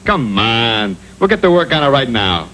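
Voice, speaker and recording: An American man voicing a sly, fast-talking magic cat in a cartoon, with glib, wheedling salesman patter on an old TV soundtrack. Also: mid-range voice, very animated, fairly bright tone, slight background noise. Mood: glib, pushy. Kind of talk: comic cartoon voice acting.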